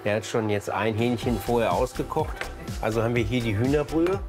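Male TV cook-host, medium pitch, casual enthusiastic chat, clear music in the background. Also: a little fast. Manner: enthusiastic, exclaiming. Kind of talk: casual on-location chat while cooking.

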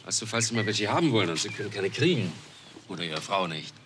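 A man's voice: lowish voice, big pitch swings, fast talker. An actor playing a resigned, bitter adoptive father who talks at length with a weary edge. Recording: noticeable background noise.

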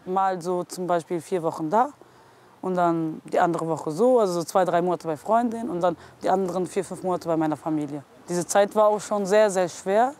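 A woman's voice: fairly low pitch, fairly quick, darkish tone. A woman in her twenties talking plainly about her own life in an interview. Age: 20s.

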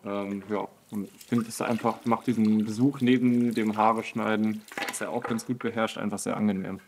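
A man with a low, flat voice, a barbershop customer who speaks hesitantly with many fillers. Faint noise sits behind him. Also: normal speed.

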